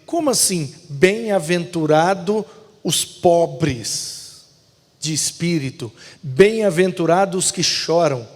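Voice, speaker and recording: Pastor-teacher, explanatory, asks and answers his own questions, slightly high voice, reverberant room. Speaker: pastor teaching a hermeneutics class. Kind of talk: bible class lecture.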